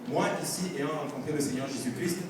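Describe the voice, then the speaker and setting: A man's voice: mid-range voice, brisk. Man preaching in a very echoey hall. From outside Canada. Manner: earnest, exhorting, with repeated refrains. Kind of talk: church sermon with testimony and scripture reading. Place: large reverberant church hall with congregation. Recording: noisy echoey hall, far from mic.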